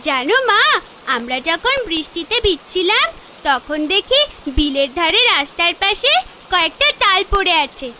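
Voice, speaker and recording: A woman's voice: very high voice, very animated, moderate pace, heavily acted. A woman voicing an eager, pleading little village girl in a cartoon fairy tale. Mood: earnest. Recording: loud rain noise behind.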